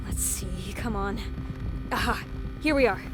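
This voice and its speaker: A woman's voice: mid-range voice, lightly acted. A woman playing a plucky, sarcastic young spaceport barista in an audio drama, nervy and wry. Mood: urgent, resourceful. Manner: wry, nervy, quick-thinking. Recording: loud music bed under dialogue.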